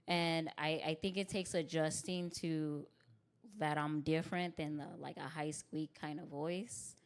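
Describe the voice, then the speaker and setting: Deep-voiced woman comic chatting candidly. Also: fairly even intonation, measured pace, smooth. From Tucson, Arizona. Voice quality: deep voice with bass. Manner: candid, laughs often, frequent fillers like "like" and "you know what I mean". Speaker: stand-up comedian, podcast guest. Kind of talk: casual interview conversation.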